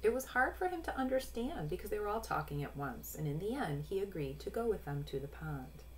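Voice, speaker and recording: A woman in her forties reading a picture book aloud to young children, pausing to explain in an instructive way. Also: medium pitch, big pitch swings. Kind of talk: storybook read-aloud with explanatory asides. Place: small room at home. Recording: noisy home webcam recording.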